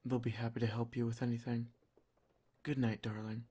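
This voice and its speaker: A man playing a doting, protective vampire lord in an ASMR roleplay, soft-spoken, formal and reassuring. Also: mid-range voice, level tone, brisk, slightly rough, lightly acted. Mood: tender, reassuring.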